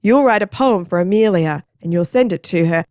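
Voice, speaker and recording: A woman's voice: lowish voice, a little fast, darkish tone, no echo, lightly acted. A woman voices a cheeky, scheming boy, pushy and teasing. The voice stays close to the narrator's own reading. It is close and dry.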